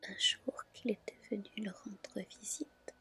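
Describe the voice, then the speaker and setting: Woman, whispered ASMR storytelling, very slow pace, soft gentle delivery. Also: mid-range voice, very animated, husky. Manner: soft, gentle, unhurried narration. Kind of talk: whispered ASMR reading of a fairy tale.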